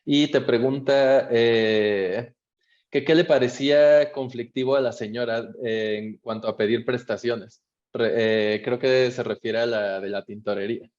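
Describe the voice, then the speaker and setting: A man's voice: mid-range voice, steady tone, unhurried, a little mellow. Mexican-accented male moderator, friendly and hesitant, reads audience questions, dull audio. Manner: friendly, encouraging, with frequent hesitations. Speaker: moderator, interviewer. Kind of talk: conversational talk, reading audience questions.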